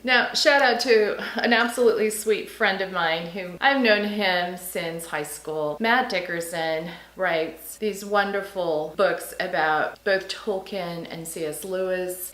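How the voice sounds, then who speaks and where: A woman's voice: fairly low pitch, a bit bright. Chatty woman in an echoey room. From Serbia. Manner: chatty, enthusiastic, laughs often. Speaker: book vlogger. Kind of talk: casual book haul chat.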